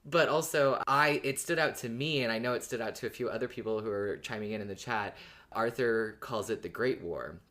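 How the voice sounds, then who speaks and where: This is a podcast co-host with a medium-pitched, androgynous-sounding voice. The delivery is casual and conversational, with an earnest, explanatory way of laying out thoughts. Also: a little fast, fairly bright tone.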